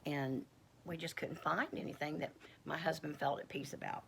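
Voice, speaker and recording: A middle-aged woman with a croaky voice, giving a casual personal testimony in a rambling, halting way with many fillers and restarts. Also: low-pitched, steady tone, noisy background. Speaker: homestead vlogger.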